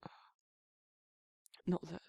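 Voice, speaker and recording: A British man playing a protective but awkward male werewolf in an intimate romantic ASMR roleplay, speaking in a halting, flustered, husky voice. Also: dark tone, no echo, dull audio, close to an everyday voice. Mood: nervous, bashful. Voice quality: gravelly, husky, breathy. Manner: flustered, halting, stammering, trailing off.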